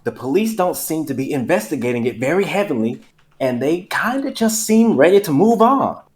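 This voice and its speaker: A suspicious, opinionated male store cashier, acted by a man in a script reading. His voice sits fairly high and moves up and down a lot. He sounds insistent, dramatic and gossipy. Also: big pitch swings, normal speed, darkish tone. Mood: knowing, firm.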